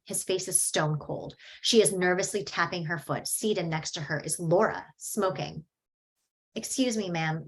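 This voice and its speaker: Woman reading stage directions, lowish voice. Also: rough recording. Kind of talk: screenplay table read narration.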